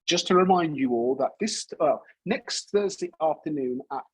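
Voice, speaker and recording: A man's voice: fairly high pitch, animated, normal speed, breathy edge. A British man hosting a coaching community's livestream, talking in a chatty, rambling, upbeat way. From United Kingdom.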